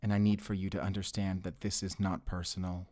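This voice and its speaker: A man's voice: low voice, little pitch movement, fairly quick, dark tone. A man playing a guarded, protective vampire slayer in an audio roleplay, speaking frankly and sternly. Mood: grave, stern. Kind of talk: cinematic audio roleplay addressed to the listener.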